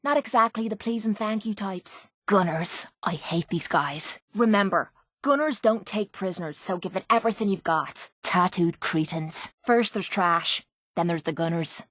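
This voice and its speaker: A woman's voice: medium pitch, normal speed, a bit bright, dry recording, studio quality, natural voice. An actress playing a tough, sardonic young female brawler in a video game, with an Irish accent and a brash, earthy way of talking. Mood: scornful, sardonic. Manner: brash, sarcastic, earthy slang. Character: tough, foul-mouthed, sardonic female brawler with a violent streak. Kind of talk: video game character voice lines.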